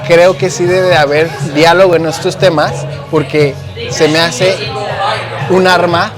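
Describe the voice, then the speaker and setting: Animated Mexican man, slangy chat. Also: a little high, a little slow, over music, crowd noise. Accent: Mexican Spanish. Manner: animated, slangy, with frequent restarts and fillers. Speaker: podcast host. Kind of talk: casual conversation.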